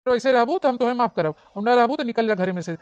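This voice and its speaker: A woman's voice: a little high, quick pace, a little mellow, no room sound, lightly acted. A woman voicing a blunt, exasperated but forgiving village husband in an Awadhi comedy cartoon, with an Awadhi accent and dull, lo-fi sound. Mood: doubtful.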